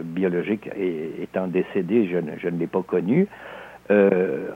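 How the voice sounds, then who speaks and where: Older male guest, Québécois accent, husky low voice, thoughtful and hesitant delivery, tinny phone-like audio. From Alsace, France. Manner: measured, halting with frequent hesitations. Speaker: interview guest, novelist. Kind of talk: reflective interview talk.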